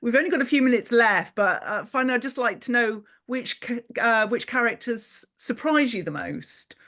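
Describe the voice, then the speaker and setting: A woman's voice: fairly high pitch, lively intonation, moderate pace, a bit bright. A woman hosting a panel discussion, speaking in an encouraging, appreciative way to her guests. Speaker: host and interviewer.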